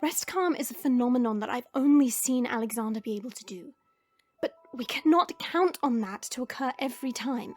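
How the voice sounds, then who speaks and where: A woman playing a regal dragon queen in a scripted audio roleplay, earnest and resolute, with formal, deliberate phrasing. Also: a little high, animated. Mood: insistent. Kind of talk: scripted audio roleplay acting with first-person narration. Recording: music behind the voice. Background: background music.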